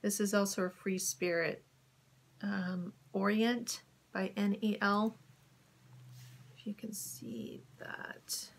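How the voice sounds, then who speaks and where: A woman casually showing fabric in her home sewing studio, her voice crackly from a cold, often dropping to a soft whisper. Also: medium pitch, steady tone, takes it slowly, pauses often. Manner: soft-spoken, drifts into whispers when admiring fabric. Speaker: sewing vlogger. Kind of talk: casual fabric haul chat.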